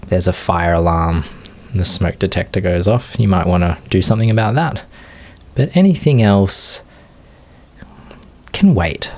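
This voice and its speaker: A man leading a guided meditation as a teacher, giving unscripted, gentle instruction in short phrases with long pauses. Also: deep voice.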